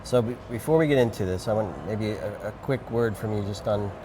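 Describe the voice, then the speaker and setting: Low-voiced male interviewer over noise. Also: brisk. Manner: informal, repeats words, interjects agreement. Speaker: interviewer, host. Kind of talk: casual conversational interview. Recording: noisy urban ambience behind voice.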